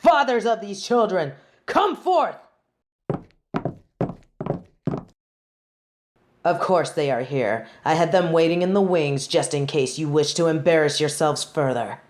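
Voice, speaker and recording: A woman's voice: low voice, sing-song, normal speed, darkish tone, slight background noise. A woman playing a haughty, sardonic young female judge in a dramatic audio roleplay, speaking in formal, imperious, theatrical phrases. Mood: scornful, commanding. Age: young adult.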